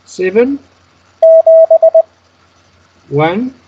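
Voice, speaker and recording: A man's voice: sits high, big pitch swings, takes it slowly. Male instructor on a muffled, noisy internet call, methodical word-by-word delivery. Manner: instructional, announcing each item word by word. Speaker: Morse code practice instructor. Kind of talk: step-by-step spoken instruction. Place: Skype call. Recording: dull lo-fi internet call, clear noise.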